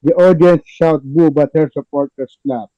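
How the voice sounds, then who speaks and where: Mellow, higher-pitched man narrating, slightly dull sound. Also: steady tone, normal speed. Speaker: narrator reading stage directions. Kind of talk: scripted narration in a play table read.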